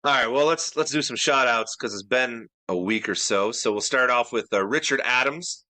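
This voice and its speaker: A man's voice: medium pitch, moderate pace, pushed and bright, no room sound. A middle-aged man co-hosting a casual podcast chat, earnest and detail-minded, often restarting his sentences. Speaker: podcast co-host.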